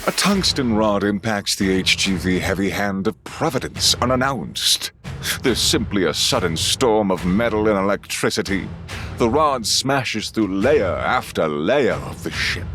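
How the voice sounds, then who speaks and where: A man performing measured, solemn dramatic narration of a space battle for a sci-fi audio drama. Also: lowish voice, expressive, over music. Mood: grave, measured.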